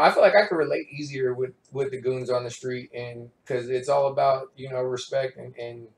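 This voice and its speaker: A man from Stockton hosts a loose, slangy chat, laughing easily. His voice sits at an ordinary pitch and carries the echo of a bare room. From Stockton, California. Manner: laid-back, laughing, slangy talk. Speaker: podcast host. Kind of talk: casual chat.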